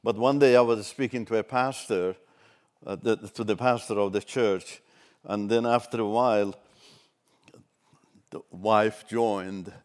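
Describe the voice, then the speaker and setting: An older man from Iran whose first language is Turkish, giving a measured, halting personal testimony as a ministry speaker. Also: on the low side, fairly even intonation.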